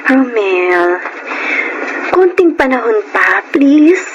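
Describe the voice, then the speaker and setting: A woman's voice: fairly high pitch, sing-song, drawn-out words, dull audio, noisy background, exaggerated voice. A woman playing a dutiful, burdened breadwinner of about thirty in scripted radio drama narration and dialogue. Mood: pleading. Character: burdened, dutiful breadwinner daughter of thirty telling her story.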